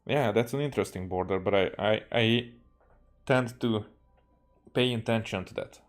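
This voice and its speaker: A man's voice: on the low side, moderate pace. A man with a Hungarian accent, casually rambling as a live game streamer in a hesitant, musing way.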